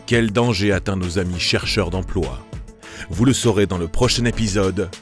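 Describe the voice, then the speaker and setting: A man narrating a mock-dramatic cliffhanger in a pompous, theatrical, drawn-out manner. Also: low voice, over loud music. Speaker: outro narrator.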